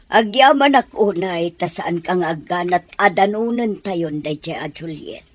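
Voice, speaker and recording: A husky middle-aged Ilocano mother in a radio comedy drama, ailing and wheedling, always matchmaking. Her pitch swings widely and the sound is dry and close. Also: expressive, unhurried, no room sound. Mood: plaintive, weary.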